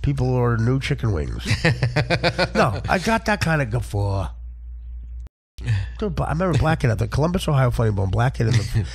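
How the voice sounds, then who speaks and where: A male comic with a gravelly, husky voice rambles through crude stories in a casual way. His delivery is animated and profane, with pitch that moves around a lot. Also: brisk, pauses often. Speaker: stand-up comedian. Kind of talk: casual, profane comedy storytelling.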